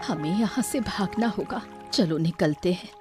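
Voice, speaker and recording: A woman voicing an anxious, protective wife and mother in a cartoon story, urgent and expressive. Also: lowish voice, normal speed, over music, natural voice. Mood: alarmed, demanding. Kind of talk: animated moral story dramatization.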